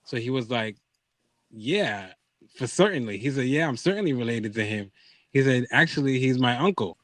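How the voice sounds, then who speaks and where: A man's voice: mid-range voice, expressive, normal speed, fairly bright tone, dry recording. A man hosting a podcast, telling a personal story in casual conversation, acting out quoted dialogue and checking in with his listeners.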